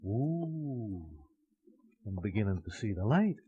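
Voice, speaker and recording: An actor playing a smug, dapper small-time ex-gangster, wordy and touchy, in 1950s radio drama style. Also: male voice, lowish voice, sing-song, slow pace, husky, exaggerated voice. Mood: wheedling, sly. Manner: wordy, mock-refined street slang, wheedling. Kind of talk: 1950s hard-boiled radio drama acting. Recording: muffled old-time radio recording.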